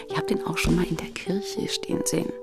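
A woman with a lowish voice speaks over clear music. Her manner is pointed and questioning, in a conversational radio-play style. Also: fairly even intonation, normal speed. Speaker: sceptical questioner.

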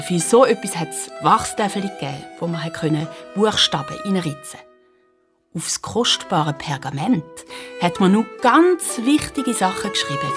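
A woman's voice: lowish voice, natural voice. A woman narrating a children's radio play with a Swiss German accent, explaining things like an engaging storyteller.

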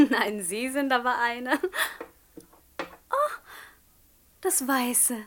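A woman's voice: on the high side, very slow, a bit bright, a little hiss or hum. An actress playing a polite, obliging shop saleswoman who is easily amused, in a 1960s radio comedy. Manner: courteous, obliging.

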